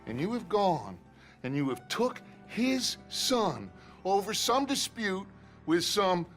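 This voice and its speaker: An American actor playing a middle-aged, silver-tongued outlaw gang leader in a video game, with theatrical, oratorical delivery. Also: male voice, sits high, big pitch swings, slow pace, a bit bright, soft background music, full character voice. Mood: indignant. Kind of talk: dramatic video game acting.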